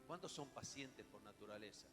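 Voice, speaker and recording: A man's voice: lowish voice, lively intonation, normal speed. A man with a Rioplatense Spanish accent preaching a sermon, dramatic, with rhetorical questions and emphatic repetition. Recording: echoey hall, music behind the voice.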